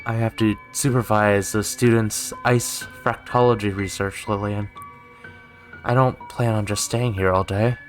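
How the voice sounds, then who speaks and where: A man's voice: fairly low pitch, steady tone, measured pace, soft background music, close to an everyday voice. A man voicing a reserved, dutiful young woman with a curt manner in a visual novel read-aloud. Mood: firm.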